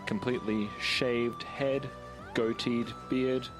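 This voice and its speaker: A man's voice: mid-range voice, steady tone, slow pace, music in the background. A man playing a tabletop roleplaying game, describing what his character looks like and does.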